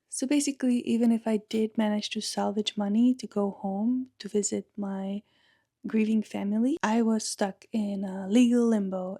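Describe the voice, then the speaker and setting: A woman in her thirties with a Czech accent speaks softly and earnestly, with wry little asides. Her voice is mellow and carries a gravelly fry. The sound is dry and close. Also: medium pitch, no echo. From Czech Republic. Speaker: podcast host. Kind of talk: personal monologue.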